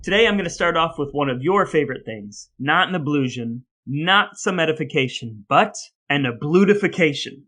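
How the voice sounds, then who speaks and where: Higher-pitched man hosting a podcast. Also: lively intonation, normal speed, hardly any pauses, bright, forceful tone. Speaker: podcast host. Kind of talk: scripted podcast intro and casual banter.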